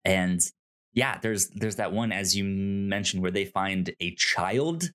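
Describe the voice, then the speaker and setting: A man co-hosting a casual discussion of a TV show, halting and repeating words as he thinks. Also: sits low, a bit bright. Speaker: podcast co-host.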